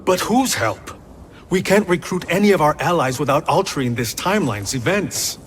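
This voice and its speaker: A man voicing a firm, lively-voiced warrior. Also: fairly high pitch, normal speed, a little hiss or hum, lightly acted. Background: game score music.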